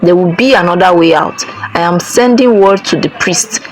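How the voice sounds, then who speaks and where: A woman's voice: lowish voice, normal speed, a little hiss or hum, natural voice. A woman doing a stern demanding king. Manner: demanding, questioning. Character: stern, commanding village king.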